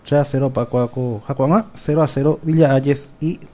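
A man's voice: mid-range voice, fairly even intonation, normal speed, dark tone, muffled recording. A man with a Paraguayan accent presenting sports results on radio, mixing Guarani into his Spanish. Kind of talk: radio news chat.

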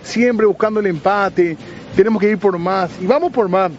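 A man's voice: high voice, moderate pace. A man talking on a casual sports stream, upbeat and agreeable. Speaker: sports panelist. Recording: muffled stream audio, faint noise.

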